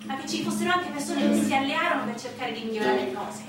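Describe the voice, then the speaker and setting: A woman's voice: sits high, fast talker, natural voice. An actress playing a banker's mature widow in a theatrical interview, guarded, defiant and bitterly loyal to her dead husband. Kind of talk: theatrical stage interview dialogue. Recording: very echoey, distant, music under the voice.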